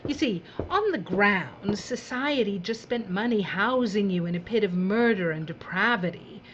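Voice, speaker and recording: A woman's voice: medium pitch, lively intonation, normal speed, fairly bright tone, muffled recording, natural voice. A woman playing a breezy, callous female television boss in an audio drama, theatrical and drawling, with condescending endearments. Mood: smug.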